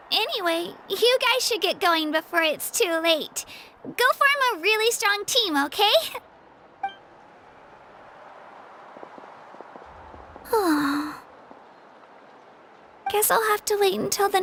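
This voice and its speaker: Very high, earnest young girl warrior. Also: expressive, slow pace, gravelly, sharp tone, a little hiss or hum, full character voice. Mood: downcast, resigned. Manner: hesitant, earnest, polite. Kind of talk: video game voice acting. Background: game music.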